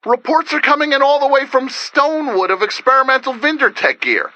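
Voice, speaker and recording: Animated, bright-voiced radio DJ. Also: male voice, very high-pitched, brisk, lo-fi sound, exaggerated voice. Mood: earnest, urgent. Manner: dramatic, urgent, wry, conversational. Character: earnest, wry, excitable survivor radio DJ in an apocalypse. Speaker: radio broadcaster character. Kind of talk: video game voice acting, radio broadcast monologue.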